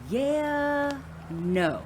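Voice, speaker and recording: A woman's voice: sits high, expressive, extremely slow, background noise, exaggerated voice. A woman playing a wry, enthusiastic older rocker in a conversational audio drama. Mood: dry, wry.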